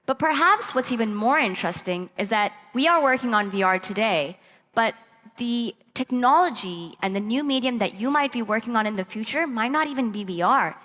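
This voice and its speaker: A woman's voice: a little high, animated, moderate pace, a bit bright. Woman presenting in an echoey hall. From Pakistan. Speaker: presenter at a youth tech summit. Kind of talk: prepared conference talk. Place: large hall, on stage. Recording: echoey hall, long reverb.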